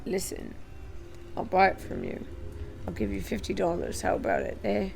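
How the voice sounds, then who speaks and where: A woman voicing a tall, sly man who speaks low and coaxingly while making an offer. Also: wildly swooping pitch, normal speed, creaky, darkish tone, faint music behind, exaggerated voice.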